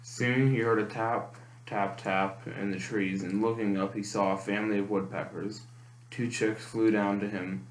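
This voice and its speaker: Low-voiced man reading a children's storybook. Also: little pitch movement, normal speed. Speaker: storytime reader. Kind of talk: children's picture book read aloud. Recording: echoey room with clear background noise.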